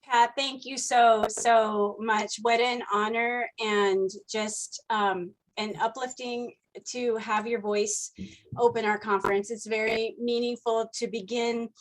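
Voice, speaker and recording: A woman's voice: a little high, fairly even intonation, slow, poor audio. Woman host giving effusive thanks. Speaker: conference host. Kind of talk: thanking a speaker.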